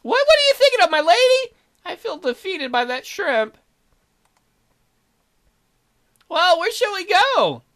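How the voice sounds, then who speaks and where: A woman's voice: very high voice, sing-song, moderate pace, fairly bright tone, pitched above the performer's own voice, full character voice. A woman voicing a fussy, devoted magical attendant in a visual novel, prim and snippy but deferential to a lady. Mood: bickering.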